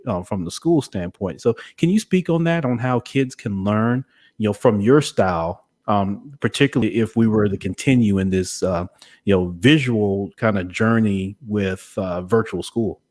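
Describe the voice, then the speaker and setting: A man with a fairly low voice hosts a podcast interview. He talks conversationally and feels his way through long, winding sentences in a measured, hesitant manner. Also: a little mellow.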